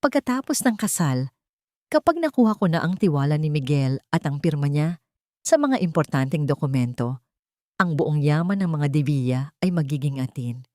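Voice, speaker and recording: A woman's voice: deep voice, animated, normal speed, a little mellow, no echo, clean studio sound. The spoiled, scheming daughter of a crime family in a radio serial, sneering coldly and smugly at her victims, as a woman narrator plays her. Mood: smug, scornful. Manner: mocking, cold, smug. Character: scheming, contemptuous young female villain, rich heiress.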